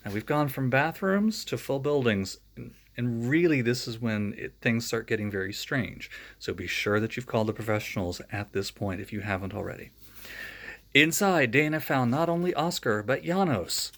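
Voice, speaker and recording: A man's voice: medium pitch, animated, moderate pace, fairly bright tone. A man reading a children's storybook aloud, breaking off for friendly, joking asides to young listeners. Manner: friendly, chatty asides between read passages.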